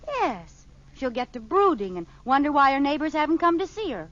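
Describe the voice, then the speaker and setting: An actress playing a nosy, scatterbrained suburban housewife in a 1940s radio sitcom, theatrical and mock-innocent. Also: female voice, high voice, very animated, normal speed, a bit bright. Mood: mock earnest. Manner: theatrical, coy, mock-innocent comic delivery. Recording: muffled, noisy old radio broadcast recording.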